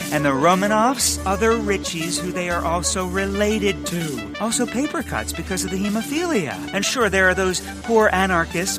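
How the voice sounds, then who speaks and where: A pompous, bumbling rich eccentric in an audio drama. He speechifies grandly, then trips over himself. His voice sits high over clear background music.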